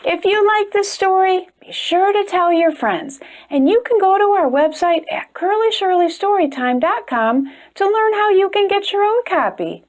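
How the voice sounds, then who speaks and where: A woman hosting a storytime channel, reading a children's picture book aloud in a gentle, expressive way. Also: extremely high pitch, normal speed, hardly any pauses. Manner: gentle, expressive reading, brighter and chattier when addressing viewers. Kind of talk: read-aloud storytelling for kids.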